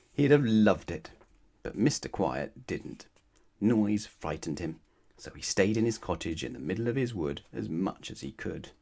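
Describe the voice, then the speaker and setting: A man's voice: deep voice, creaky, darkish tone. A man narrating a children's bedtime story expressively, putting questions to his young listeners. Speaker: storybook reader.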